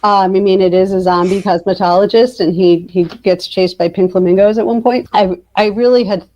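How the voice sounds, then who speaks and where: A woman talks informally as a guest author in an interview. Her pitch sits in the middle range, and she has a wry, self-amused way of telling things. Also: steady tone, normal speed, dark tone. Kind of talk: casual interview chat.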